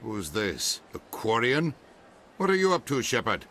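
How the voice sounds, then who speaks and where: A man's voice: medium pitch, sing-song, measured pace. A man playing a brusque, suspicious government ambassador in a video game, questioning sharply. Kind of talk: video game cutscene acting.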